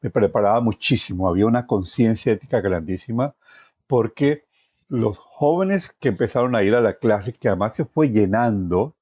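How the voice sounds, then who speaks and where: A man's voice: on the low side, lively intonation, moderate pace, husky, no echo, studio quality. A middle-aged man with a Colombian accent tells his life story reflectively, repeating words for emphasis and ending phrases with little tag questions. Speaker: journalist telling his life story as podcast guest. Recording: soft plucked guitar music behind.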